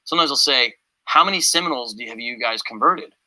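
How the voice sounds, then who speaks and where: A man's voice: medium pitch, quick pace, bright, forceful tone, low-quality recording. A man giving critical commentary in a video clip, in a skeptical, dry way.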